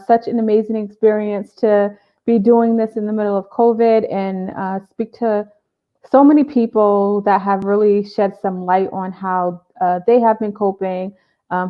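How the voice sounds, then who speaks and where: Therapist woman hosting a live chat, filler-heavy, mid pitch, dull audio. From Boston area. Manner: warm-up chatter with many fillers. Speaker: therapist and live show host. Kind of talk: casual live video interview.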